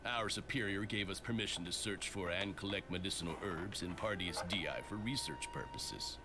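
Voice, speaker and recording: An actor playing a stern, officious soldier in a video game, speaking formally and curtly with cool menace. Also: male voice, low-pitched, moderate pace, noisy background, lightly acted. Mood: cold, officious. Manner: formal, curt, unyielding. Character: stern, officious male soldier agent, coolly threatening. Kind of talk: scripted video game voice acting. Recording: loud game music behind the voice.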